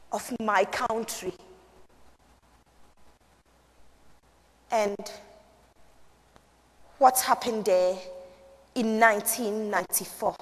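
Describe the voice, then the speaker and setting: A woman playing an eager, proud and wistful young Rwandan woman survivor in a theatrical solo stage piece, with expressive pauses. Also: mid-range voice. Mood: solemn, wistful. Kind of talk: solo dramatic interpretation on stage. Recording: echoey hall.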